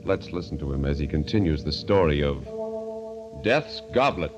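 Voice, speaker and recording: A man's voice: a bass voice, lively intonation, normal speed. A man announcing a 1940s radio horror show in an ominous, theatrical, portentous manner from a script. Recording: muffled vintage radio broadcast over music. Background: dramatic music.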